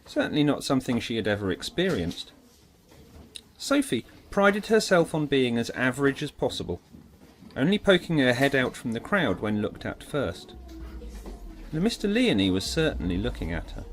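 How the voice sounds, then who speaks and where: Male storyteller for audio drama, expressive pitch movement, dramatic scripted delivery, faint noise behind. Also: normal speed. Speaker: audiobook narrator.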